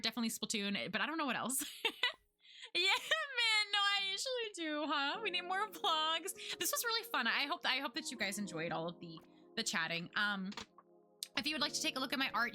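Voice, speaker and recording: Chatty bright-voiced young woman streamer. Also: on the high side. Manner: chatty, lots of "like" fillers, frequent laughs. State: losing her voice. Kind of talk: casual chat with live chat.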